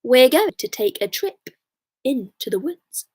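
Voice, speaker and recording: A horrible storybook stepmother, acted by a woman, bossy and scheming. She speaks slowly in a high voice with big swings of pitch. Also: very animated, a little mellow, husky, no echo, clean studio sound, heavily acted. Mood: falsely sweet.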